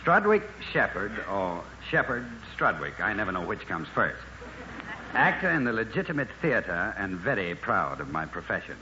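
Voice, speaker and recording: Male actor guest, lowish voice, very animated intonation, scripted comedy, muffled noisy old radio. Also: normal speed. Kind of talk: scripted comic monologue, 1950s radio.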